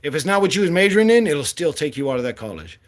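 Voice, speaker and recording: Late-40s guy ranting hard, blunt and cussing, voice sits kinda high. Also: sing-song, quick pace. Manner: blunt, swearing, fiery. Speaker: political candidate. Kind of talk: impassioned monologue.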